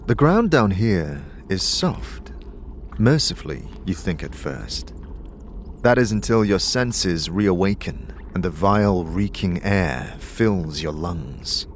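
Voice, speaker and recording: A man's voice: low voice, expressive, slow, a little hiss or hum. A man narrating a fantasy audio drama in a vivid, suspenseful, scripted storytelling style. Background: music.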